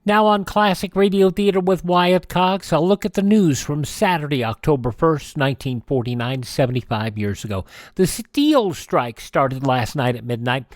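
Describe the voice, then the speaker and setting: A man's voice: fairly high pitch, normal speed, no echo. American man reading news, close and dry. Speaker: radio show host. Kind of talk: reading old news on a radio show.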